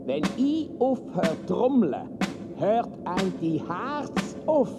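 A man's voice: high voice, sing-song, slow pace, loud music behind the voice, heavily acted. A man playing a menacing masked carnival drummer with a Swiss German accent, taunting in a chant in an audio drama. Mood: slow menace. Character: sinister masked carnival drummer, Death in an uncle's guise.

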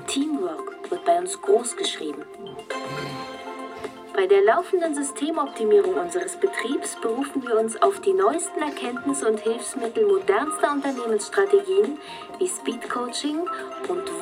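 An actress voicing a polished corporate promo video in a radio drama, with smooth advertising delivery. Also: female voice, on the high side. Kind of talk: scripted promo voiceover. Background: music.

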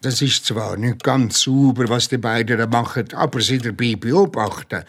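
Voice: mid-range voice, moderate pace, lightly acted. An actor playing a sceptical, level-headed boy sidekick in a detective audio drama, wry and know-it-all. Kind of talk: German audio drama dialogue.

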